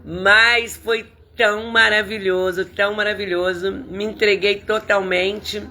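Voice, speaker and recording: A hoarse woman pastor with a Brazilian Portuguese accent, greeting viewers by name and praying fervently in a livestreamed service. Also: mid-range voice, level tone, a little slow, sharp tone, a little hiss or hum.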